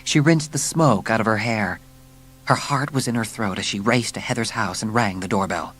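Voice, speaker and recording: A man's voice: lowish voice, moderate pace, fairly bright tone, slight background noise. A man reading scripted, dramatic narration for a children's audiobook. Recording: music behind the narration.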